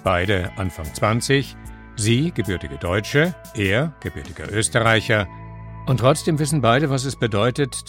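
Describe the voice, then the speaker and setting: A man hosting an interview podcast, narrating in a calm, measured way and asking questions. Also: medium pitch. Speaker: podcast host.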